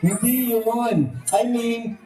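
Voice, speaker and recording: A man's voice: very high voice, little pitch movement, takes it slowly, mellow, slight background noise. A man working as a ventriloquist host, giving playful, coaxing live comedy patter to an audience. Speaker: ventriloquist entertainer hosting a birthday party show. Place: party venue with guests. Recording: echoey room, distant mic, faint music.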